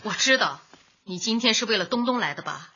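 A woman's voice: mid-range voice, expressive, fairly quick, slightly rough, heavily acted. An actress in a radio drama playing a strict, exasperated mother with a nagging manner. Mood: guarded. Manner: sharp, nagging. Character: exasperated, strict young mother scolding her fussy son. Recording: dull, noisy lo-fi.

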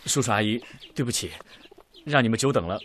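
An actor playing an earnest, modest, bookish young engineer in a Chinese radio drama, polite and apologetic in manner. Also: male voice, medium pitch, brisk, a bit bright, slight background noise, lightly acted. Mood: apologetic, flustered. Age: young adult.

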